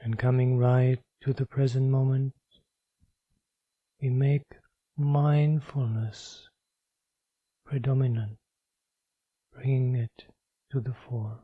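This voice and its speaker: A man leading a guided meditation as a teacher, speaking calmly and in measured short phrases with long pauses. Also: medium pitch, breathy edge, no echo, narrow, tinny audio.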